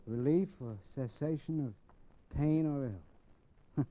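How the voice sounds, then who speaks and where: An actor playing a brooding small-time American gangster in a mid-century radio crime drama, with slangy, halting speech. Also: male voice, fairly high pitch. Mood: bitter, confused. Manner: slangy, halting tough-guy talk with repeated words. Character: brooding small-time male gangster narrating from death row. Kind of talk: 1940s-50s American radio crime acting. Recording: muffled old radio recording with music.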